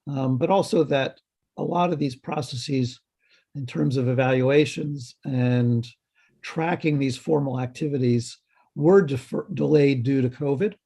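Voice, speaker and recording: A man giving a halting update at a health committee meeting over a video call, slightly hoarse, with many ums and restarts. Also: medium pitch, warm tone. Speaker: health department staff presenter.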